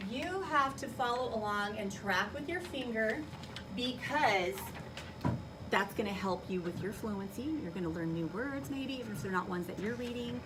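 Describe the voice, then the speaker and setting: Woman teacher, distant in a noisy classroom. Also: mid-range voice. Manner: instructive, checks understanding with questions. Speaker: elementary school teacher. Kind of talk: classroom instruction to children. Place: school classroom.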